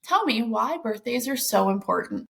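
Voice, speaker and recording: A woman's voice: on the high side, lively intonation, moderate pace, creaky, a bit bright, breathy edge. A woman hosting a podcast interview, bubbly and enthusiastic in her questions. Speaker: podcast host.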